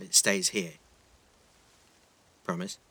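A man's voice: slow, pushed and bright. An actor playing a shy, earnest teenage schoolboy, hesitant and flustered, repeating his words in a naturalistic audio drama. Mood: guarded, awkward. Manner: hesitant, stammering repetitions, flustered.

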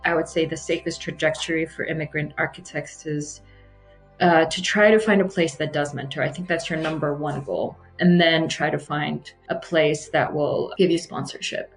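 A woman in her thirties, from Iraq and raised in Abu Dhabi, telling her career story in a measured, reflective way. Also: sits low, fairly even intonation, faint music behind, rough recording. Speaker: architect interviewee. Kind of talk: personal testimonial.

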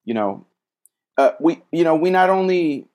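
A man who works as a social worker, a guest in a casual conversation, speaking thoughtfully and hesitantly with frequent pauses and fillers. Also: a little high, lively intonation, a little mellow.

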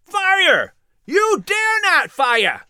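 A man voicing a furious colonial street rioter in an audiobook, shouting taunts in drawn-out cries. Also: extremely high pitch, wildly swooping pitch, no echo, clean studio sound, voice pushed up, full character voice. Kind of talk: audiobook character acting.

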